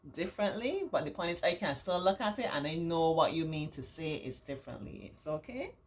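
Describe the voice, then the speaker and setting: A woman reading a picture book aloud to children, with encouraging, simply explained asides and expressive, drawn-out emphasis. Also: deep voice. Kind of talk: read-aloud story time with chatty asides to children.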